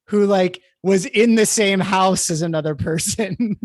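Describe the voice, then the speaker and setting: High-pitched man, chatty panel host. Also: unhurried, no echo, studio quality. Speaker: host of a theater livestream panel. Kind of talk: casual panel chat over a video call.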